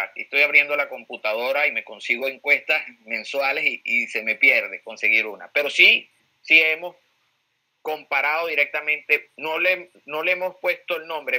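A man's voice: medium pitch, bright, forceful tone, rough recording. A Venezuelan man giving political commentary as a pollster, emphatic, repeating key phrases and posing rhetorical questions. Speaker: pollster, guest speaker.

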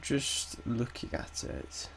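Man, croaky from a cold, slow and hesitant, thinking aloud, keyboard typing in background. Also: a bit bright, slight background noise, rough recording. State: has a cold, slightly croaky. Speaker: game development tutor. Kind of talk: casual screen-recorded coding tutorial.

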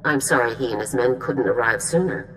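A woman playing a stern, composed army general in a video game, speaking in a measured, formal, authoritative way. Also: extremely low pitch, a bit bright, slight background noise, rough recording. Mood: measured approval. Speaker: military general.

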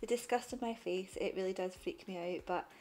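A woman's voice: medium pitch, fairly quick, a little mellow. A young woman narrating her cleaning vlog over the footage in a chatty, matter-of-fact way. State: pregnant. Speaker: cleaning vlogger.

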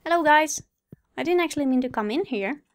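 A woman's voice: high voice, lively intonation, brisk, a little hiss or hum. A woman giving casual let's play commentary as a host, chatty and a little hesitant, musing to herself. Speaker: gaming video host. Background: game music.

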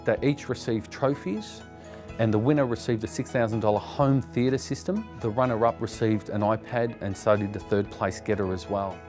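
A middle-aged man, a fitness coach, explains plainly in a seated interview. His voice sits on the low side, picked up by a lapel mic, with soft music underneath. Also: normal speed. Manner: explanatory, matter-of-fact. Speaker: fitness coach and personal trainer. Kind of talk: sit-down interview.

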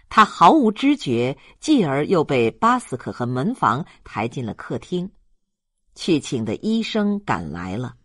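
An audiobook narrator reading a literary story in a measured, dramatic storytelling manner. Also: medium pitch, fairly bright tone, dry recording, clean studio sound.

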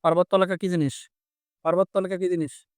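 Male Bangladeshi Bengali teacher, slightly high voice, dry close sound, explains conversationally and quizzes with repeated questions. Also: little pitch movement, quick pace, smooth, dry recording. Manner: conversational, repeats phrases and asks check questions. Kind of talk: interactive vocabulary lesson, explaining and quizzing.

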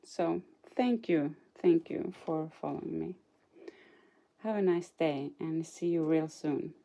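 Slow, mellow Norwegian woman showing crafts. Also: deep voice, creaky. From Norway. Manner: chatty, hesitant, apologetic, giggles now and then. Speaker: craft channel host. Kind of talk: casual show-and-tell of a scrapbook album. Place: indoors at a craft table.

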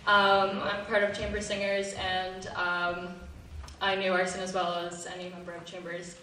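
A high school girl giving an earnest prepared tribute speech at a school concert, with some hesitant fillers. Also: completely flat delivery, normal speed, bright, forceful tone, a little hiss or hum. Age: teens. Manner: earnest, reading from notes with fillers. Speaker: student speaker. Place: school auditorium. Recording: echoey hall, voice from far off.

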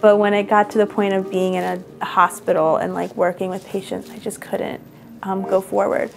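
A woman's voice: mid-range voice, flat delivery, moderate pace, vocal fry, slight background noise, rough recording. A young woman in an interview, earnestly telling her story in a chatty way with lots of "like" fillers. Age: young adult. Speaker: fashion designer and boutique owner. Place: indoor room, seated across from interviewer. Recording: soft music underneath.

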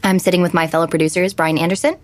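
Bossy, eager teen podcast host played by a woman, voice a little low, audio-drama role. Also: steady tone, very fast, slight background noise, natural voice. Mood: eager, organizing. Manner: take-charge, earnest. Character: eager, bossy teenage girl hosting amateur investigation podcast.

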